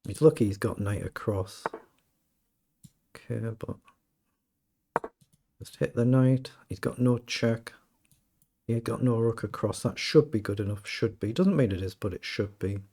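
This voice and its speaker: A British man with a slightly croaky voice, casually commentating his own chess game and thinking aloud. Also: mid-range voice, unhurried, long pauses, dark tone. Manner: thinking aloud, self-questioning. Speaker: chess streamer.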